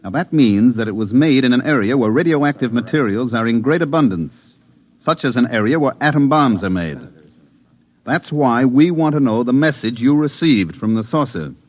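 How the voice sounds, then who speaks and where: An actor playing a firm government security agent who interrogates calmly and persistently, in a 1950s radio drama. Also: male voice, mid-range voice, normal speed, dull audio, lightly acted. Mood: interrogating. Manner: calm, pressing interrogation.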